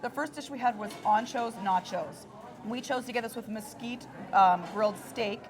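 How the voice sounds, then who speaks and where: A woman with a hoarse, froggy voice, chatting enthusiastically as a food show co-host in a restaurant. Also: on the high side, moderate pace, crowd noise. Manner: enthusiastic, gushing about food. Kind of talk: casual chat on a food show. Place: restaurant dining room.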